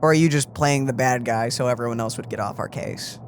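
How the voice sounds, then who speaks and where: A streamer giving informal, animated gameplay commentary, reacting to the story with mock indignation. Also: mid-range voice, a little fast, slight background noise. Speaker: let's play streamer.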